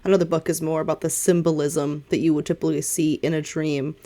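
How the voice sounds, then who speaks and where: A woman's voice: low-pitched, flat delivery, quick pace, dark tone. A woman narrating a tabletop game as game master, improvising and explaining in a hedging way.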